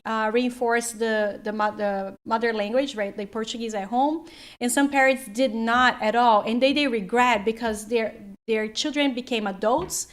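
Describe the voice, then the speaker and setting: A woman, a life coach co-hosting a chat, talking fast and rambling with lots of "like". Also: a little high. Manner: quick, rambling with many "like"s. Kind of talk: casual chat.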